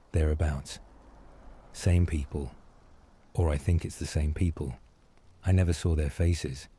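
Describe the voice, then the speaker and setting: A man playing a wary, evasive night security guard in an audio drama, husky and speaking in clipped, hesitant phrases. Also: low voice, warm tone, no echo.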